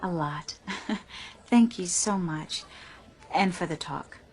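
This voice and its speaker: A woman's voice: lowish voice, slow, darkish tone, breathy edge. A bewildered, weary woman shopper. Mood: composed, polite. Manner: hesitant, faltering, searching for words. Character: bewildered, weary, uneasy woman customer in a department store. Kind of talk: radio drama acting.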